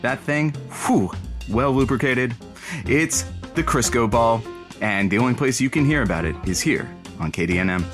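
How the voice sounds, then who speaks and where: An actor playing a crude, bullheaded, sardonic male radio producer and announcer in an audio comedy, deadpan and bickering. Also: medium pitch, normal speed, music in the background, close to an everyday voice. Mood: halting promotion.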